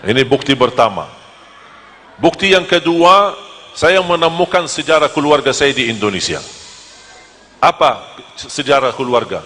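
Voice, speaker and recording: A man from Medina, preaching to a live audience in a lively, joking storytelling manner. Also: medium pitch, measured pace, long pauses, big-room sound. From Medina, Saudi Arabia. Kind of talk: humorous sermon to a live audience.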